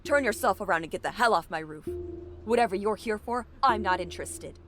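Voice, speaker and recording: A woman's voice: mid-range voice, lively intonation, a little fast, natural voice. A woman playing a tough, no-nonsense police captain in an audio drama, brusque, sardonic and commanding. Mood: curt, irritated. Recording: dramatic music underneath. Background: music.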